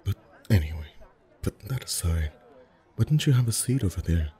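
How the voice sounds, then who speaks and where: A man acting as a friendly festival face painter in an ASMR roleplay. His voice is extremely low and mellow, softly spoken and gentle. He rambles in a chatty, lightly flirtatious way. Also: lively intonation, fairly quick, some chatter behind. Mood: sheepish, playful. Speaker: ASMR voice actor.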